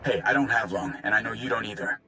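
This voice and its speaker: An actor playing an anxious, hurried comrade calling in with news in a video game, urgent and informal. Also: male voice, fairly low pitch, bright, forceful tone, slight background noise, rough recording. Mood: urgent, hesitant. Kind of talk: video game cutscene acting.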